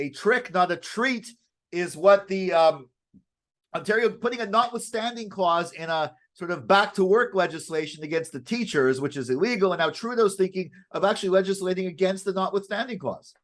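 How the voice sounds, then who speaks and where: This is a man with a voice a bit higher than most. He talks through the news casually as a co-host, in a halting way, doubling back and restarting his phrases. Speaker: co-host of a weekend current affairs show. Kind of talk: casual unscripted news chat.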